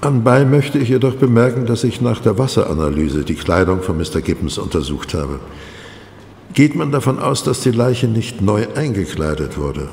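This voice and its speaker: A man's voice: on the low side, animated, moderate pace, vocal fry, darkish tone. A man playing a precise, pedantic forensic pathologist who speaks formally and clinically in a German crime audio drama. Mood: pedantic, assured. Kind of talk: German radio drama dialogue. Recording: echoey hall, faint background noise.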